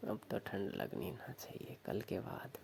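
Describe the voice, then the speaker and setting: A man playing a village lover coaxing his sister-in-law on the phone, with a Rajasthani accent and a slow, suggestive way of talking. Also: low-pitched, sing-song, gravelly, in a whisper, full character voice. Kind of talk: staged phone call, casual flirtatious chat.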